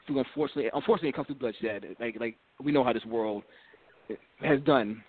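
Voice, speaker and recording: A man at a middle pitch who talks haltingly, backing up and repeating words as he gathers his thoughts. His voice comes through thin and boxy, like a phone call. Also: breathy edge. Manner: halting, many restarts and repeats. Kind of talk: casual group discussion. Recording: tinny phone-like line.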